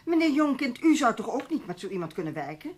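An actress playing an indignant, high-principled newspaper journalist in a Dutch radio play, emphatic and challenging, with a Netherlands accent. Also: female voice, sing-song, quick pace, slightly rough. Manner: emphatic, challenging, rhetorical questions.

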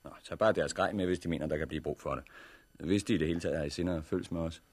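A man acting in a 1960s radio drama as a brisk, authoritative survivors' leader with a commanding, matter-of-fact manner. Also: deep voice, husky. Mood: brisk, businesslike. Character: brisk, authoritative male organizer of disaster survivors.

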